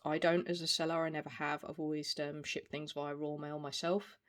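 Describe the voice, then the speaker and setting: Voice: mid-range voice, flat delivery, fairly quick. A person in their forties giving a casual, unscripted product review in a hesitant, rambling way. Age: 40s. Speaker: video reviewer. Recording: clear background noise, small clicks.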